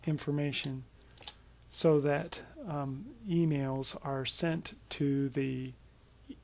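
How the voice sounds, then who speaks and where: Man, gravelly creak, mid-pitched, informal explanatory tone, software tutorial presenter, casual screencast delivery.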